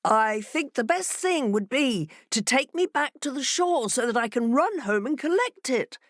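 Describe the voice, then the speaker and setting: A woman's voice: high-pitched, lively intonation, measured pace, no echo, clean studio sound, pitched above the performer's own voice. A woman voicing a proud, cunning male fox, raised above her own voice. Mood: sly. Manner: haughty, then sly.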